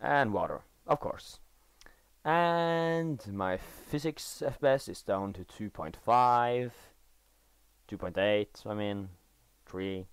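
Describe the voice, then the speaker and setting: A teenage boy from Norway talks over a video game in English, his second language, with a clear Norwegian accent. His voice sits a little low for his age, and the voice-over is close and dry. He stumbles, restarts and corrects himself as he goes, and gets keen over game details. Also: no echo. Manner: halting, self-correcting, enthusiastic about game details. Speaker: gaming video creator. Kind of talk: casual gameplay commentary. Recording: dry voice-over recorded after gameplay.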